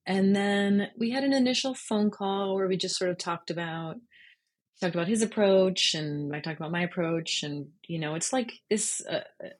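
A woman's voice: mid-range voice, normal speed, slightly rough. A woman speaking as a journalist guest in a casual, reflective interview, thoughtful and candid about her own work.

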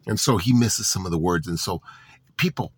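A man's voice: sits low, moderate pace, husky, no echo. A man delivering a political monologue to his audience, sarcastic and full of rhetorical questions. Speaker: political commentator.

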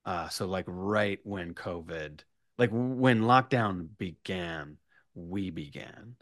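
A man chatting casually as a writer guest on a podcast, in halting bursts with repeated starts and asides. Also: sits low, expressive, a bit bright, no echo, studio quality. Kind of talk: animated casual interview chat.

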